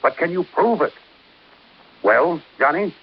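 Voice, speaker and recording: An insistent American insurance executive from vintage radio drama. He is earnest and emphatic, with a very lively pitch, on a dull recording with faint hiss. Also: unhurried. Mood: pressing. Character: earnest, insistent male insurance company man. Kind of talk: 1950s radio drama acting. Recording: muffled, hissy old radio recording.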